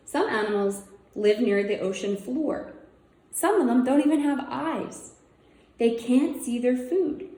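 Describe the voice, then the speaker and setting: Female read-aloud presenter for kids' nonfiction, mellow and unhurried, simple wondering asides, roomy echoing sound. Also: fairly high pitch. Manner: simple child-directed phrasing, wondering aloud. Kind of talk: reading a children's nonfiction book aloud with casual asides.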